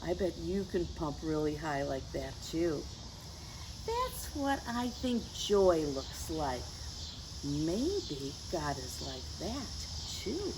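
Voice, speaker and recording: A woman reading a picture book to young children in a gentle, teacherly way, with chatty asides to her listeners. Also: deep voice, sing-song, slow pace, background noise. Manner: gentle teacherly address, tag questions to the listeners. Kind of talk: read-aloud story time for young children with chatty asides. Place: outdoors.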